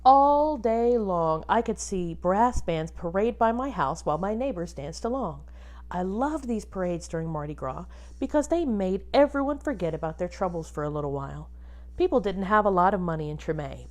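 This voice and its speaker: A woman teacher reading a picture book aloud to children expressively, pausing to ask them questions and point things out. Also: medium pitch. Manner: animated, addresses young children with questions.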